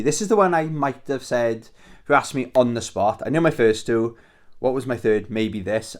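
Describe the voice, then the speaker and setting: A Welsh man talks loosely and cheerfully about his game collection, dropping casual swears and tripping over his own sentences. His voice sits at an ordinary middle pitch for a man. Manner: chatty and enthusiastic, swears casually, many restarts. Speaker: YouTube channel host.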